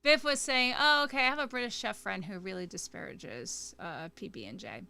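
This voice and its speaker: A woman's voice: mid-range voice, a little fast, gravelly, sharp tone, clear, resonant tone. A woman co-hosting a casual livestream, chatting and reading out viewer comments.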